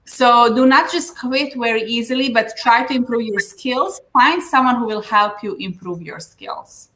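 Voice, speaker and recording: A woman's voice: on the high side, normal speed, fairly bright tone, slight background noise, poor audio. A woman from Serbia with a Serbian accent, a business coach giving earnest advice in an interview, with frequent fillers. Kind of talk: interview answers. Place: Dubai.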